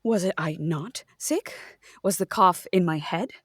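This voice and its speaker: A woman playing an anxious, dramatic hypochondriac writer, halting and theatrical, in a comic hospital audio drama. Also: fairly low pitch, no echo, studio quality, voice pushed down. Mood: dreamy melodrama.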